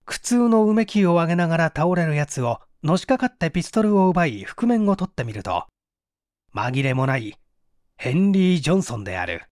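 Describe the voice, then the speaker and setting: A man's voice: on the high side, very animated, a little fast, darkish tone, dry recording, studio quality. A man reading mystery fiction aloud as a dramatic scripted narration. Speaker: audiobook reader.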